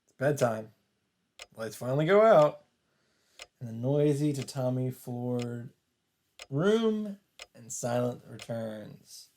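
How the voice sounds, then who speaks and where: A man's voice: medium pitch, expressive, slow pace, long pauses, darkish tone. A man doing casual let's play commentary, reacting aloud and reading game text out in English. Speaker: let's player. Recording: music playing behind. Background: game music.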